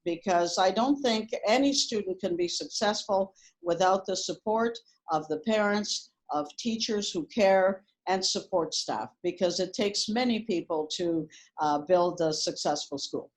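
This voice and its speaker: A woman's voice: lowish voice, measured pace. A woman who grew up in Portugal, a school principal answering interview questions earnestly, with many ums and uhs.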